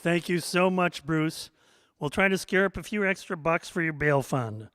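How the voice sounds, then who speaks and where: A man hosting and reading a scripted small-town chronicle in a measured, wry, folksy storytelling manner. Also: on the high side, fairly bright tone, smooth, dry recording, clean studio sound. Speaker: host and storyteller.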